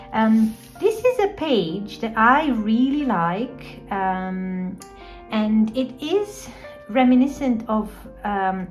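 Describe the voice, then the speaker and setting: A woman whose first language is Romanian, talking casually and reflectively through her art journal pages, with many pauses and ums. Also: fairly low pitch.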